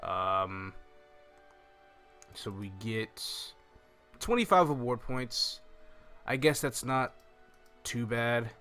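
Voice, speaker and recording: A young man with a bright, forceful voice walks viewers through a video game. He talks informally and thinks aloud, close to the microphone. Music plays behind him. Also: mid-range voice, expressive, extremely slow, long pauses, clean tone. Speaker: gaming video host. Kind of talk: casual game walkthrough commentary. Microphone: microphone on a stand close in front of him.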